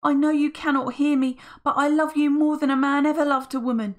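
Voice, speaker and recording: Storybook hero, earnest lad, high and gushing, read by a woman. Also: steady tone, fairly quick, lightly acted. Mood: lovestruck. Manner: high-pitched, theatrical and gushing.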